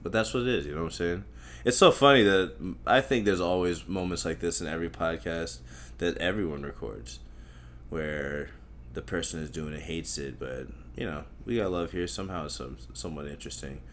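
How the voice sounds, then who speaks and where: A young man with a very deep voice hosts a solo podcast, talking in a loose, crude and profane ramble. He mocks himself and drifts from thought to thought, while faint noise from outside hums behind him. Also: a little fast, a bit bright. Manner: crude, profane, self-mocking, meandering.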